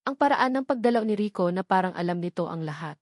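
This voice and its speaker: A woman with a Filipino accent narrating a scripted love story in a measured, expressive, dramatic way. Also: medium pitch, no echo, studio quality.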